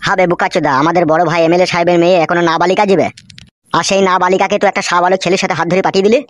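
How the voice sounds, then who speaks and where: A woman's voice: lowish voice, flat delivery, rapid-fire, no echo, studio quality, close to an everyday voice. A woman voicing a village boss's loyal, scolding henchman in a comedy cartoon, snappy. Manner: snappy, scolding, foul-mouthed. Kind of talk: amateur comedy cartoon dialogue. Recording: music behind the voice.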